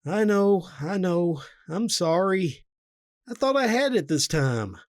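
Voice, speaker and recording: A man voicing a sheepish husband who apologizes slowly. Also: high voice, sing-song, pitched above the performer's own voice, full character voice. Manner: apologetic, slow.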